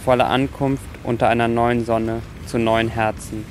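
A man's voice: medium pitch, level tone, normal speed, a little hiss or hum. A man speaking in an earnest, reflective and literary way. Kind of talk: reflective account and solemn literary reading.

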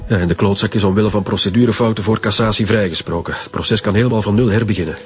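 Actor as a brisk Flemish male police chief. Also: lowish voice, faint music behind, natural voice. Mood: brisk, commanding. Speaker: actor in a radio crime serial. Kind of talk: naturalistic radio drama acting.

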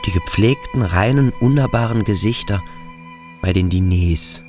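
An actor playing a sensitive, ashamed, brooding boarding-school youth in a radio drama, speaking introspectively in halting, measured phrases. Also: male voice, fairly low pitch, music in the background, lightly acted. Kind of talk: radio drama acting, inner monologue.

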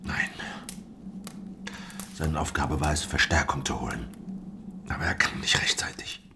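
An actor playing a guarded, world-weary fugitive rebel veteran in a German historical radio drama, grave and terse in manner. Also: male voice, a bass voice, fairly even intonation, unhurried, vocal fry, in a whisper, exaggerated voice. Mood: grave, bitter.

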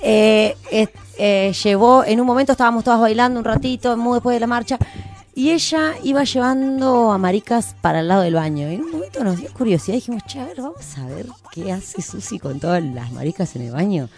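A woman's voice: on the high side, animated, a little fast, a little mellow, soft background music. A woman with an Argentine accent hosting a radio show, chatting casually and telling anecdotes in slangy, informal language. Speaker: radio host. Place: radio studio.

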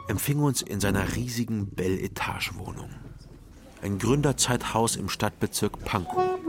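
An actor playing a young male private detective in a radio crime drama, with a dry, measured, literary way of talking. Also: fairly low pitch, lightly acted. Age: young adult.

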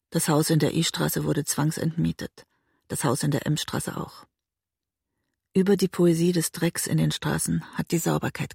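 An actress playing a haunted woman looking back on her marriage, husky and breathy, in grave, restrained audio drama narration. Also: low-pitched, a little mellow, no room sound, studio quality, exaggerated voice. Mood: resigned, melancholy. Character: haunted woman writer recalling a destructive marriage. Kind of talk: literary first-person audio drama narration.

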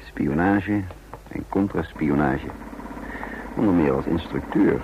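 An actor playing a composed, worldly secret service agent in a radio drama, measured and probing in manner. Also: male voice, extremely low pitch. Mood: assured, recruiting. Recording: muffled, noisy old radio recording.

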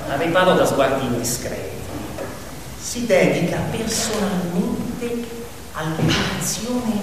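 A stage narrator telling an animal fable theatrically, expressively and with conversational asides to the audience. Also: medium pitch. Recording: echoey hall, distant mic, faint noise.

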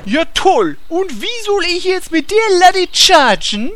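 A woman's voice: very high voice, very animated, slow pace, heavy background noise, heavily acted. A woman playing a naive, grumbling male warrior in a comic fantasy audio drama, with a whiny manner.

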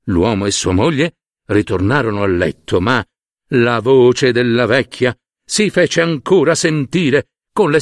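A man narrating a fairy tale expressively as a storyteller, with dramatic, deliberate pauses. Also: medium pitch, no room sound, studio quality.

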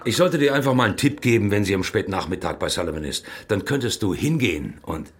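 An actor playing a sly, jovial criminal in a German radio crime drama, talking in an insinuating, persuasive way. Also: male voice, fairly low pitch, lively intonation, fast, very noisy, lightly acted. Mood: needling. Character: sly, jovial criminal man goading his acquaintance toward murder.

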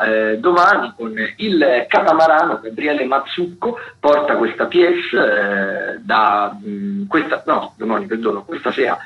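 Halting man in a roomy, dull recording. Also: medium pitch. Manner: halting, searching for words, self-correcting. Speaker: theatre critic presenting a weekly events agenda. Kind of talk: loose spoken radio events rundown. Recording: roomy, dull lo-fi radio sound.

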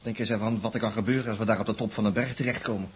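An actor with a Netherlands Dutch accent playing a chatty, nervy, excitable astronaut in a 1960s radio drama. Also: male voice, lowish voice, racing, breathy edge. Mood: impatient, nervous. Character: jittery, talkative male astronaut on a test crew. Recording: noisy vintage 1960s radio recording.

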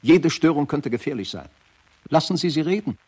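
An actor playing a protective doctor cautioning others in a radio play. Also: male voice, on the high side, animated, moderate pace, darkish tone, slightly rough. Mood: firm, cautioning. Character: protective male doctor cautioning a police inspector.

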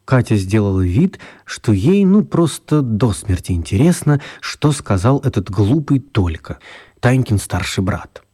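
A man narrating a children's radio play as an expressive storyteller. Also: on the low side, unhurried, breathy edge, exaggerated voice. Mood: storytelling.

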